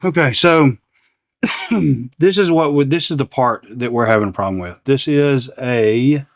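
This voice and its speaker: A man's voice: medium pitch, measured pace, no room sound, clean studio sound. A man hosting a repair demonstration, talking casually and unscripted, partly to himself as he works at a bench. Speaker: repair channel host. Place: indoors at an electronics workbench.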